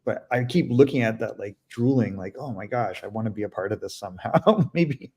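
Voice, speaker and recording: A man's voice: lowish voice, lively intonation, darkish tone, slightly rough. Chatty joking man hosting a live interview. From Canada. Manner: chatty, jokey, quick to laugh. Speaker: host.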